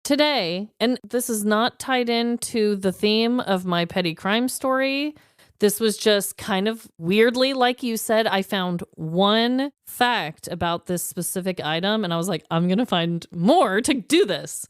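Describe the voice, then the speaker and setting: A woman co-hosting a podcast in casual chat, expressive and informal, with exclamations and casual swearing. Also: medium pitch, measured pace, clean tone, dry recording, studio quality. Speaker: podcast co-host.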